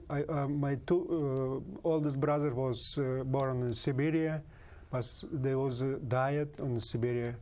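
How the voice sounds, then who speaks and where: A man in his fifties with a Russian accent, a native Kalmyk speaker, answering interview questions haltingly with many pauses and fillers. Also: medium pitch, darkish tone. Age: 50s. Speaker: guest.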